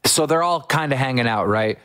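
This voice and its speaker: A young man hosting a casual podcast, an excitable storyteller who acts out what people said in quick bursts. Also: medium pitch, dry recording, studio quality. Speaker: podcast host.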